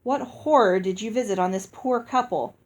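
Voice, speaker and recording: A woman voicing a stern, disapproving gentleman fairy in a storybook, with a clipped, reproachful manner. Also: mid-range voice, moderate pace, lightly acted. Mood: appalled. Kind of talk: acted children's storybook reading.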